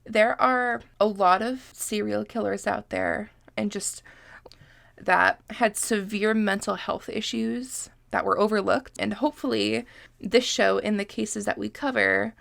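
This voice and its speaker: A woman's voice: mid-range voice, fairly even intonation, unhurried, gravelly, fairly bright tone, dry recording. A woman in her twenties from Massachusetts, congested and sniffly, hosting a casual podcast in a chatty, self-deprecating way and swearing freely. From Massachusetts, USA. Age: 20s. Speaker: podcast host. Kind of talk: casual solo podcast chat.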